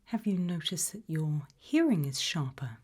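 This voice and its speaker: A British woman speaking in a soft, breathy, mostly whispered ASMR style, gently asking measured questions as an interviewer in a roleplay. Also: low voice, big pitch swings. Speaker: ASMR roleplayer as an abduction investigator.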